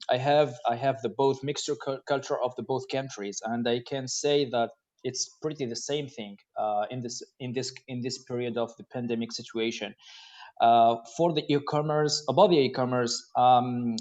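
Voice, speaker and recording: A man's voice: mid-range voice, normal speed, a little mellow. A man from Morocco, a payments business manager, speaking as a guest on a video call, hesitant and repeating words. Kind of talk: casual remarks on a video call. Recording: dull lo-fi call audio.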